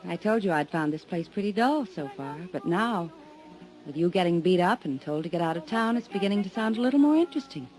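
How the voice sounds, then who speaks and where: A woman's voice: on the low side, expressive, brisk, a little mellow, close to an everyday voice, poor audio. An actress playing a teasing, mysterious woman on vacation in a 1950s radio drama. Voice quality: coy, teasing. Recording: old radio recording with music.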